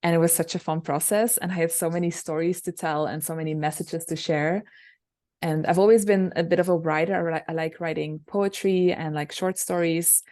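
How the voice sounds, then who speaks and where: A young woman singer with a low alto voice, chatting casually over a video call about her music with many fillers. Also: fairly even intonation, brisk, a little mellow. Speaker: singer and songwriter, interview guest. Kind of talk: casual interview answers.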